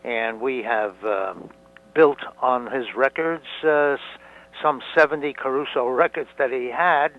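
A man in his nineties whose first language is Italian, a museum founder answering interview questions slowly, with many fillers and restarts. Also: medium pitch. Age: 90s. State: hard of hearing. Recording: dull lo-fi recording.

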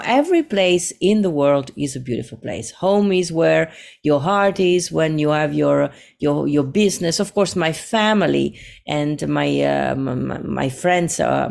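A woman from Italy with an Italian accent, a singer-songwriter talking as a guest in a casual, animated interview. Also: low voice, gravelly. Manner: animated, rambling, laughs easily.

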